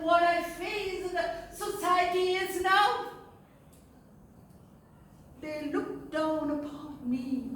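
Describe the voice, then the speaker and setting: A woman performing a lonely, repentant outcast woman in an emotional, theatrical monologue with emphatic pauses. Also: very high-pitched, fairly bright tone, heavily acted. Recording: echoey room, distant mic, faint noise.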